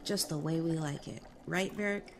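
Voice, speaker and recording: An actor playing a calm young male prince who speaks in a dry, measured way. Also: a little high, creaky. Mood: teasing. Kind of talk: audio drama acting.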